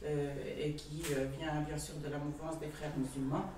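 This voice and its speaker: Someone in a meeting talking in halting bits, mic far away, roomy echo. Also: medium pitch, flat delivery, noisy background. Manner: halting, many fillers and restarts. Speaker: participant in a public discussion. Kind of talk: debate contribution. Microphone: far camera. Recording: echoey room from far off.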